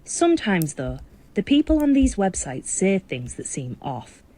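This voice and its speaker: A woman's voice: medium pitch, sing-song, unhurried, dark tone. A woman reading scripted instructional narration for a game, heard as game audio. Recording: game audio with background noise.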